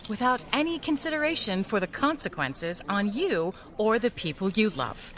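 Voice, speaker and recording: An actress playing a guarded, world-weary crime fighter in a radio drama, formal, blunt and grave. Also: female voice, mid-range voice, animated, moderate pace, fairly bright tone, a little hiss or hum, natural voice.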